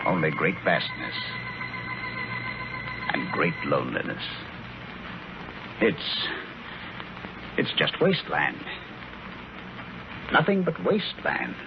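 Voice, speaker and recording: An actor playing a resolute spaceship commander who narrates a Mars voyage in deliberate 1940s radio drama style. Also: male voice, rumbling low, wildly swooping pitch, gravelly, noisy background, exaggerated voice. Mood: somber narration. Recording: muffled old 1940s radio recording, music.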